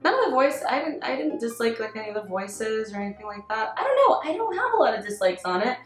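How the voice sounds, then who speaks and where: A woman chatting as a panelist in a casual fan review, animated and self-deprecating, acting out her own reactions. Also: a little high, quick pace. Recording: roomy echo, faint background music.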